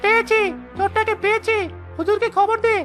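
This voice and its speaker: A man's voice: very high-pitched, little pitch movement, a little fast, fairly bright tone, soft background music. A man playing an alarmed, subservient villager in a cartoon. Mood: excited. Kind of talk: dramatic cartoon voice acting.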